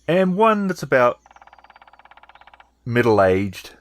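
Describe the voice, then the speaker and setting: A man narrating a tabletop game as game master, improvising with halting, hesitant phrasing. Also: medium pitch, big pitch swings, no room sound.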